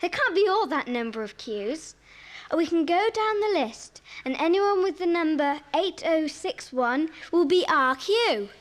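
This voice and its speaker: A woman's voice: very high voice, expressive, unhurried, long pauses. A woman playing an eager, clever schoolgirl in a children's audio drama. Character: Pam, very high-voiced eager clever schoolgirl.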